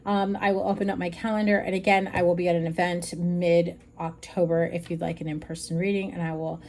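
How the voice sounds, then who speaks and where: A woman with a slightly raspy voice giving a frank, chatty tarot reading straight to her viewers. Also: lowish voice, flat delivery, fairly quick, darkish tone. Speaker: tarot reader. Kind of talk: casual tarot reading to camera.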